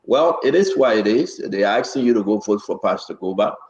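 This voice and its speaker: A man's voice: on the low side, lively intonation, fairly quick, darkish tone, muffled recording. A man hosting a casual live talk show, often repeating phrases for emphasis. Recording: roomy, echoing sound.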